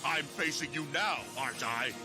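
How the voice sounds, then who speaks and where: A man's voice: fairly high pitch, lively intonation, moderate pace, natural voice. An actor voicing a cold, scheming male villain posing as someone else, with a commanding, condescending manner. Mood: smug. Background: dub soundtrack music.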